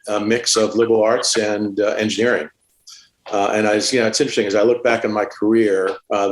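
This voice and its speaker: A middle-aged man, born in Cuba and raised in New York, telling his career story as a podcast guest in a measured way, with many "uh" fillers. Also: on the low side. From born in Cuba, grew up in Staten Island, New York. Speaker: podcast guest, supply chain executive. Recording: echoey room.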